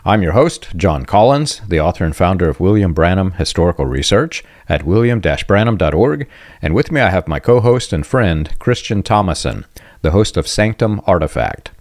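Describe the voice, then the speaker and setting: A very low-pitched man hosts a podcast in a casual, reflective tone, pausing to clear his throat now and then. The recording is dry and close, with no room sound around him. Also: very animated, darkish tone, dry recording. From United States. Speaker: podcast host.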